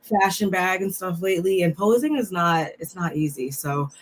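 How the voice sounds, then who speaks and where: A woman co-hosting a reality TV recap. She is a little under the weather and talks in a relaxed, halting way with many fillers. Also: on the low side, slight background noise. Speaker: co-host of a reality TV recap show. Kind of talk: casual chat reviewing looks.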